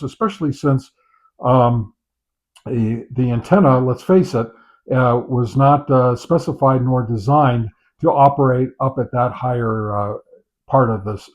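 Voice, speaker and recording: Halting man in a dull lo-fi recording. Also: medium pitch. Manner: halting, repetitive, hedging explainer. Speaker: reviewer presenting a radio antenna test. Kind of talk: casual hobbyist product review.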